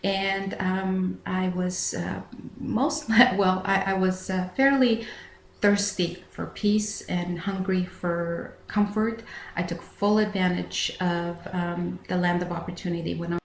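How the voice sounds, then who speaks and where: A middle-aged woman from Afghanistan giving earnest, halting answers as an interview guest. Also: on the low side, steady tone. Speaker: founder of a charitable bottled water company, interview guest. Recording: echoey room, faint background noise.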